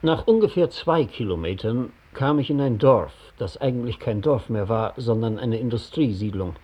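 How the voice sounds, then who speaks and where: A man's voice: mid-range voice, lively intonation, moderate pace, breathy edge, lo-fi sound. An actor playing a man who soberly and precisely recounts his wartime mission in a 1960 radio play. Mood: sober, recounting. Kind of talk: first-person account in a 1960 radio play.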